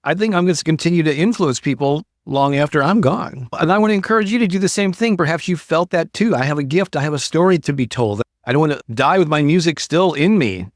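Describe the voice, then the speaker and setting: A man's voice: fairly high pitch, lively intonation, fast, few pauses, no room sound, studio quality. An older man hosting a motivational talk for an online audience, speaking in a conversational, encouraging way and stressing key words. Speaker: host, motivational creativity coach.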